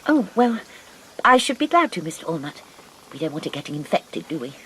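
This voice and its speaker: A prim, polite spinster. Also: female voice, low-pitched, sing-song, brisk, heavy background noise. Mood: tender. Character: prim, proper spinster missionary woman. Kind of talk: radio drama acting.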